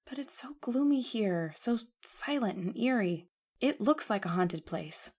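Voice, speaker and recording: A woman's voice: on the high side, expressive, a little slow, darkish tone. A woman playing an anxious, devoted young woman in an old-time radio horror drama, earnest and melodramatic. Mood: uneasy, pleading.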